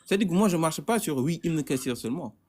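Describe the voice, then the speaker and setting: A man's voice: fairly high pitch, animated, quick pace. A man caller in a heated debate, stammering and repeating words as he insists on his point.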